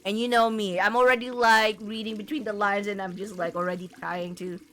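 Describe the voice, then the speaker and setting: A woman game streamer chatting casually to her viewers in a rambling, halting way, full of filler words. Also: mid-range voice, fairly even intonation, a bit bright. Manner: rambling, halting, punctuated with "like" and "you know". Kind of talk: casual livestream chat to viewers.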